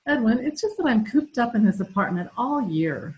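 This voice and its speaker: High, swooping, melodramatic wife played by a woman. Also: big pitch swings, moderate pace, a little mellow. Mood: plaintive. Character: melodramatic, put-upon wife feigning frailty, sweetly genteel. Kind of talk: amateur old-time radio comedy recreation. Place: room at home, video call. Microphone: video call.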